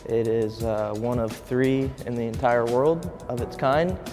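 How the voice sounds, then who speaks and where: A man giving a casual technical explanation as a facility guide, halting and repeating words. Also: medium pitch. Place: simulator room in a racing facility. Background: music.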